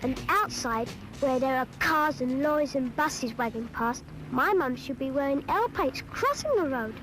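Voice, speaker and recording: A woman's voice: high voice, animated, unhurried, music in the background, poor audio. A woman voicing a cheeky English schoolchild who chattily narrates a public information film, exasperated by grown-ups. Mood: wry, chatty.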